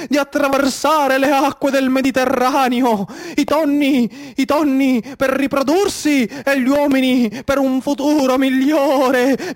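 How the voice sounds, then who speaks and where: A man with a Sicilian accent performing a dramatic storytelling monologue in a declamatory, incantatory way with deliberate, repeated phrasing. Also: very high-pitched. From Sicily, Italy. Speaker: stage storyteller, actor.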